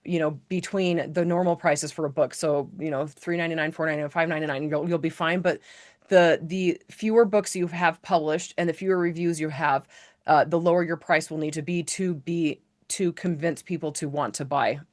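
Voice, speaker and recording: A woman hosting a casual live online training, chatty and rambling, correcting herself and filling pauses with "um". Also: deep voice, fairly even intonation. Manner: chatty, rambling, self-correcting with many fillers. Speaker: host of a live author-business training.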